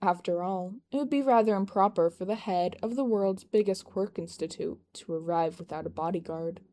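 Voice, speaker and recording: A woman voicing an older male crime boss with a formal, teasing, unhurried manner in an audiobook-style story. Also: fairly low pitch, dark tone, natural voice. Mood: amused, smooth.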